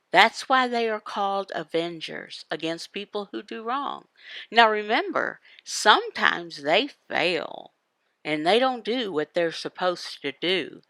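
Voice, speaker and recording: A woman giving a devotional Bible teaching in emphatic, halting phrases, asking questions and answering them. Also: medium pitch, bright, forceful tone, no room sound. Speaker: voice interpreter for a signed devotional. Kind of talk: devotional Bible teaching, voiced from sign language.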